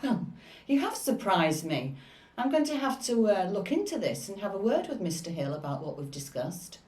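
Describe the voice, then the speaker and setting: An actress playing a formal, authoritative hospital matron with a brisk, questioning manner in a scripted drama. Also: female voice, medium pitch, sing-song, a little mellow, lightly acted. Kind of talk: scripted stage-drama acting. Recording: distant mic in echoey room.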